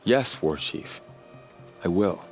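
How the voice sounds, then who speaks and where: Low-voiced loyal young warrior. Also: male voice, lively intonation, slow, vocal fry, darkish tone, heavily acted. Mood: dutiful. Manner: earnest, respectful. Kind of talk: dramatic video game acting. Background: music.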